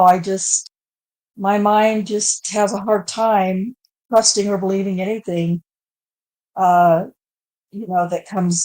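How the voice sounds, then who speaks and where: A woman speaks as a guest on a casual online panel, hesitant and searching for her words. She restarts her sentences often. Her voice sits at an ordinary middle pitch. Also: a little mellow, rough recording.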